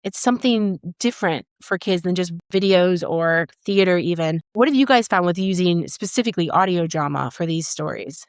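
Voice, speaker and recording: A woman's voice: lowish voice, expressive, normal speed, few pauses, smooth, dry recording, clean studio sound. A woman hosting an interview, speaking in a casual, conversational way. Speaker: podcast host, interviewer.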